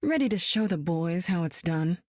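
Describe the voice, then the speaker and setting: A seasoned woman superspy with a cool, wry, self-assured delivery. Her voice sits a little low and is recorded close and dry, with no room around it. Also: animated, moderate pace, no room sound. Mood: cool, teasing. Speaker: game hero. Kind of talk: video game voice lines.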